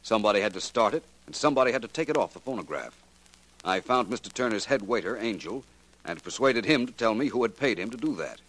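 An American actor playing a shrewd, confident male detective in a 1940s radio drama, speaking in a formal, deliberate, authoritative way. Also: on the low side, lively intonation, a bit bright, rough recording. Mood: explaining, assured.

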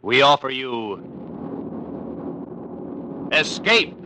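A man's voice: medium pitch, big pitch swings, very slow, heavy background noise. A man announcing an old-time radio adventure series in a grand, emphatic, dramatic style. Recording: vintage radio recording.

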